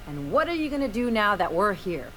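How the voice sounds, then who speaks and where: An actress playing a brash, bitter young adventurer in a video game, with a sardonic, theatrical way of telling stories. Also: female voice, medium pitch, sing-song, brisk, fairly bright tone, a little hiss or hum. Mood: indignant. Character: brash young adventurer woman, bitter, dramatic, estranged from parents.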